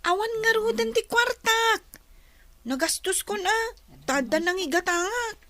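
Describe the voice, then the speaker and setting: A woman's voice: very high-pitched, animated, unhurried, pushed and bright, no echo, exaggerated voice. A woman with an Ilocano accent playing a spendthrift, wheedling young daughter in a radio comedy drama, whining and pushy. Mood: sulky, pleading.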